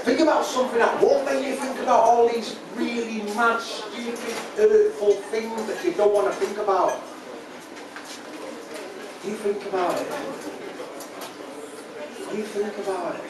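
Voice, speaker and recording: A man's voice: high voice, steady tone, a little slow, long pauses. A man in his forties with a Mancunian accent performs spoken-word poetry live on stage with a rhythmic, impassioned delivery. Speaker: performance poet. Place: small dark jazz club stage. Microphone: handheld vocal mic on a stand, often off it. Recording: echoey live club PA, distant, audience noise. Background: audience.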